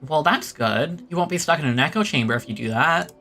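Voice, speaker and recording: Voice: medium pitch, expressive, fairly quick. A game streamer giving casual, rambling commentary while playing. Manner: chatty, rambling, with restarts and little exclamations. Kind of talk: casual commentary over gameplay. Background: loud game music and sound effects.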